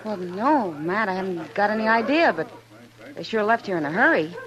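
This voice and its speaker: Actress as a bright-voiced Old West saloon woman. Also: mid-range voice, animated, normal speed, lo-fi sound, lightly acted. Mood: curious. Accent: American. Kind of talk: 1950s radio western acting. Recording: old radio recording, faint music behind.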